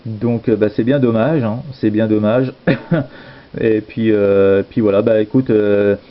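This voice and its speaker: A low male voice with a dull, lo-fi sound. He chats casually as a radio operator, hesitant and repetitive, in very informal phrasing. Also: steady tone, takes it slowly. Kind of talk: casual radio contact chat.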